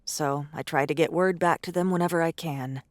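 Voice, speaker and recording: A guarded, athletic survivor woman, played by an actress, in an audio drama. She speaks in a lowish, dry voice, blunt and wary, with world-weary bitterness. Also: fairly quick, no echo, lightly acted. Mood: tired, matter-of-fact.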